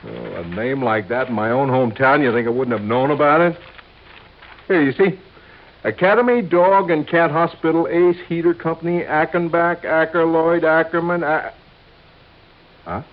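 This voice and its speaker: A man's voice: fairly high pitch, steady tone, moderate pace, natural voice. An American man playing a fussy, skeptical insurance office man in a 1950s radio drama, protesting and hesitant. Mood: surprised, protesting. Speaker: supporting actor. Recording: muffled, faintly noisy vintage radio recording.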